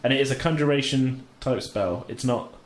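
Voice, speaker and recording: A man's voice: medium pitch, moderate pace, over a noisy crowd. Man game master in an echoey room. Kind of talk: casual tabletop roleplaying game narration. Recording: roomy echo with faint background noise.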